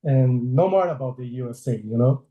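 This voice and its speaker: A man's voice: medium pitch, normal speed, roomy sound, phone-quality sound. A man in his forties from Brazil with a Brazilian Portuguese accent, speaking as a guest illustrator on a video call, friendly and hesitant, laughing often. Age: 40s. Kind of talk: casual interview over video call.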